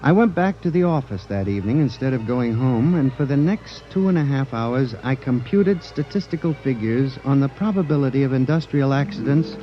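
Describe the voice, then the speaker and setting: Male actor as anxious statistician hero of 1950s radio sci-fi; highly expressive, earnest, dulled vintage recording. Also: brisk, few pauses, lightly acted. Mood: grave, reflective. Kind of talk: 1950s radio drama acting and narration. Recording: muffled vintage radio recording with music behind.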